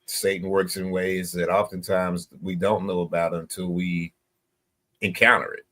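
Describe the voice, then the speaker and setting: Guest: male pastor, lowish voice, halting, repeats himself. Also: flat delivery, sharp tone. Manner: halting, repeats words while searching. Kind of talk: radio talk show conversation.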